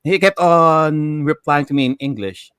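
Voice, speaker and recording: A man with a Filipino accent co-hosting a casual chat, telling stories haltingly and repeating words. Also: a little high, fairly bright tone, clear, resonant tone, dry recording, clean studio sound. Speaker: show co-host.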